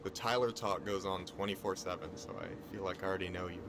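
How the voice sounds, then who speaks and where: A man's voice: low voice, steady tone, fast, over music, natural voice. An actor playing a chatty, playfully sassy young man, a friendly coworker in a video game. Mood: friendly, eager. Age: young adult. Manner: chatty, teasing. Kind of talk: naturalistic video game acting.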